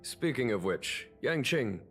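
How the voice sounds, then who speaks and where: An actor playing a calm, weary general and wise mentor in a video game, speaking in a measured, formal, deliberate way. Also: male voice, medium pitch, soft background music. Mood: patient, firm. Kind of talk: scripted video game voice acting.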